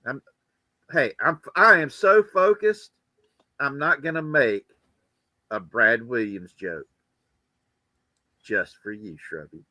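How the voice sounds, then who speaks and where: A man with a bright, forceful voice hosts a casual livestream in a close, dry sound. He rambles through commentary and slips in joking asides. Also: medium pitch, slow, pauses often, dry recording. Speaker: livestream host. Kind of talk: casual chat and political commentary.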